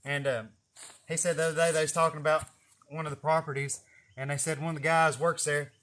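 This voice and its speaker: A man's voice: fairly high pitch, level tone, quick pace, fairly bright tone. A man with an East Tennessee Southern accent, rambling through folksy, profane stories in a casual livestream chat. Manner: folksy, profane, chuckling storyteller. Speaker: snake catcher hosting a live video. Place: greenhouse garden.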